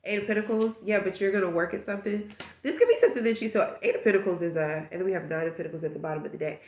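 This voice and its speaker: A woman's voice: mid-range voice, racing, darkish tone, echoey room, a little hiss or hum. A woman with a stuffed-up nose giving a casual tarot reading, musing aloud and rambling through possibilities. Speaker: tarot reader.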